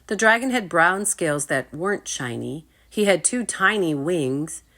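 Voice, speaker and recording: A woman storytime reader with a low voice and very animated intonation. She narrates for children at an unhurried pace and addresses her listeners directly. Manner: engaging listeners with asides. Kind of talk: expressive read-aloud narration for children.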